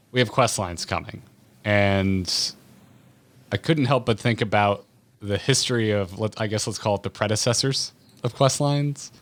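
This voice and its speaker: A man interviewing as a podcast host, conversational, with measured and roundabout questions. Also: fairly low pitch.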